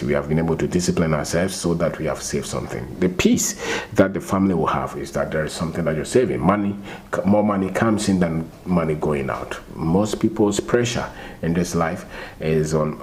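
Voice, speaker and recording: A man's voice: deep voice, darkish tone. A man with a Ghanaian English accent giving an unscripted motivational talk, repeating himself and firing rhetorical questions. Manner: repeats and restarts phrases, asks rhetorical questions. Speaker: finance talk host.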